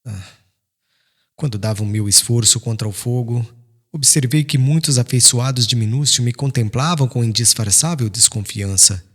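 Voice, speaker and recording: A man voicing a tender, devout young son in an audiobook, with gentle, formal, earnest pleading. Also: fairly low pitch. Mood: worried, earnest. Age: young adult. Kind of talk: dramatized audiobook narration.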